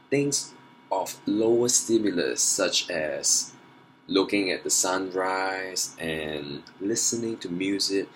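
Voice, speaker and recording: A man's voice: low voice, slight background noise, low-quality recording. A young man talking earnestly and emphatically to camera in a personal challenge vlog, trying to persuade his viewers. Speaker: vlogger.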